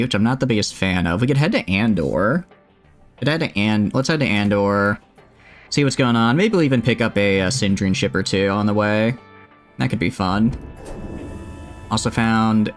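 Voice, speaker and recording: Chatty low-voiced man. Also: a bit bright. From United States. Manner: rambling, self-mocking, chatty with viewers. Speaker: streamer. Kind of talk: casual gameplay stream chat.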